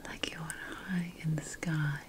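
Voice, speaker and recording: A woman voicing a sly, conspiratorial potion-making witch in an ASMR fantasy roleplay, whispering slowly and deliberately with dramatic pauses. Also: low voice, level tone, noisy background. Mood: sly, secretive.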